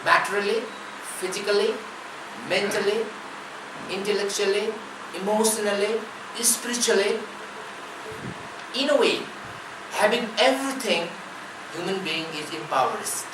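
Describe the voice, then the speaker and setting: Woman giving a distant, roomy spiritual talk. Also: mid-range voice. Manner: measured, speaks in short listed phrases. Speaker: spiritual teacher. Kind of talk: spiritual discourse. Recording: roomy, distant mic, ambient noise.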